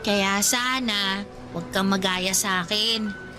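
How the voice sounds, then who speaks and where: A woman's voice: mid-range voice, steady tone, takes it slowly, music in the background, natural voice. An actress playing a sentimental older girl cousin, confiding and encouraging, in an emotional Tagalog radio drama.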